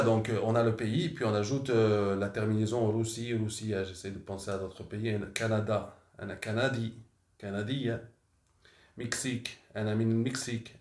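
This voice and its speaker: A man's voice: fairly low pitch, a little mellow. A man teaching a language lesson, talking unscripted and correcting himself often with many fillers. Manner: unscripted, halting with frequent fillers and self-corrections. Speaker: Arabic teacher. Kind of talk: language lesson, thinking aloud. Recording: echoey room.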